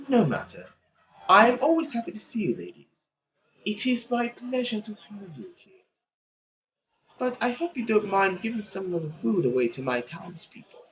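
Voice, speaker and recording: A performer voicing a suave, courtly French marquis, gracious and gently condescending in theatrical, flowery, unhurried speech. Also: mid-range voice, big pitch swings, a little hiss or hum, pitched above the performer's own voice. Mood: smooth, condescending. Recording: dull lo-fi audio with faint music.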